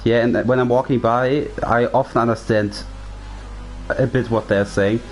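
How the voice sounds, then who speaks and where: A man whose first language is German leads a sim racing broadcast in English. He keeps the talk going and asks his co-commentator plenty of questions, at a middling pitch. Faint music runs underneath. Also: fairly even intonation, moderate pace, poor audio. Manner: chatty, steers the talk with questions. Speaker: lead commentator.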